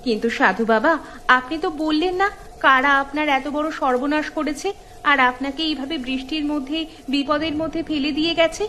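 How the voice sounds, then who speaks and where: A woman voicing a kind, curious young village girl in a cartoon, earnest and expressive. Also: sits high, a little fast, slight background noise. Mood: concerned. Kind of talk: cartoon storytelling voice acting.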